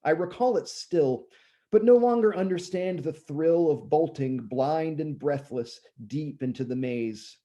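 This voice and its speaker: A man in his thirties, slightly hoarse and often clearing his throat, reciting his own poems in a measured way and chatting loosely between them. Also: on the high side, mellow. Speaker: poet reading his own work. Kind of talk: poetry reading with casual asides.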